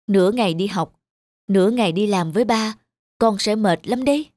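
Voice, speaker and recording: A woman voicing a gentle, devoted father in a cartoon, speaking tenderly. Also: medium pitch, normal speed, no echo, clean studio sound, natural voice. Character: gentle blind father, devoted to his little daughter. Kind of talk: cartoon dubbing.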